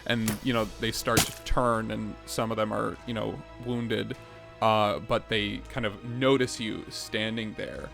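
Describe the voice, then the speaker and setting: A man narrating as game master on a tabletop podcast, describing scenes in a halting, conversational way and calling for rolls. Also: lowish voice, level tone, gravelly, over music. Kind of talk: improvised game narration and rules talk.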